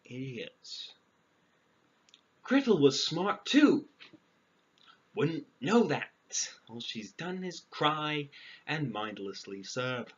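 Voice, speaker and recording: A man reading a fairy tale aloud, breaking in with dry, sarcastic deadpan asides. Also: mid-range voice. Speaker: storyteller. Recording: dull lo-fi audio, clear background noise.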